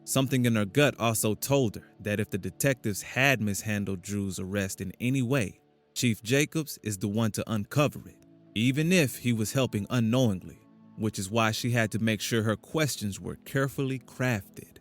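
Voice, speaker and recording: A man narrating an audio drama with an even, storytelling delivery. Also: fairly low pitch, moderate pace, soft background music. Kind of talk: scripted narration.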